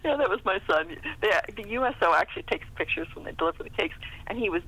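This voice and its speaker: A woman's voice: mid-range voice, animated, fast talker, a bit bright, husky, poor audio. A middle-aged American woman chatting casually as an author guest, talkative and self-deprecating with little laughs in her speech. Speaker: guest author being interviewed. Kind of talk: casual interview chat.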